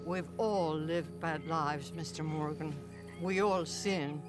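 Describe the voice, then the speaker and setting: An actress playing a gentle, forgiving nun with a hesitant, consoling manner in a video game. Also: female voice, sits low, steady tone, slow pace, music in the background. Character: gentle, forgiving female nun, Mother Superior. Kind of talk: video game drama acting.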